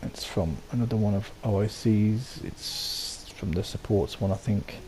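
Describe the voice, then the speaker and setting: A man with a sore throat and a croaky voice, casually talking through how he built something, rambling with lots of ums and restarts. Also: fairly low pitch, measured pace, darkish tone, slight background noise, poor audio. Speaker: game settlement builder. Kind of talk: casual voice-over walkthrough.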